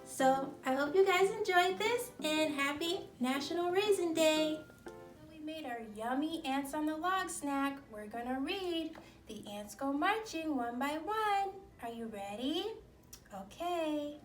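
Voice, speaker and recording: Roomy, distant recording; female children's presenter, slow, simple and encouraging. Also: high-pitched, expressive. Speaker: children's activity presenter and read-aloud host. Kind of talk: instructional talk and read-aloud for young children. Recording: roomy, distant mic, music behind.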